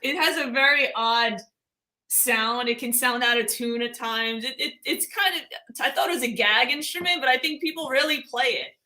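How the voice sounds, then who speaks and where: A woman's voice: sits high, normal speed, bright, forceful tone. A woman with a Long Island accent, a guest musician chatting casually in a live-stream interview, often trailing off and laughing.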